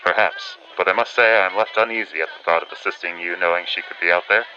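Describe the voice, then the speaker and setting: A man acts out a 1940s radio newsman for an audio drama, reluctant, wry and dutiful. His voice is fairly low with a very forceful, piercing edge and old-fashioned announcer phrasing. The sound is somewhat muffled and lo-fi. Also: fast talker, slight background noise. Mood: uneasy, wary. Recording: dull band-limited audio with music behind.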